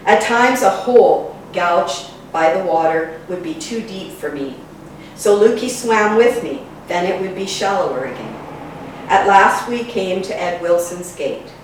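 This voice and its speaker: A woman with a slightly low voice reads a historical account aloud in measured, phrase-by-phrase narration. She sounds set back from the microphone in a very reverberant room. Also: a little mellow. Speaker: reader of a local history book. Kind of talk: read-aloud narration of memoirs and letters. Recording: very echoey room, distant mic, faint noise.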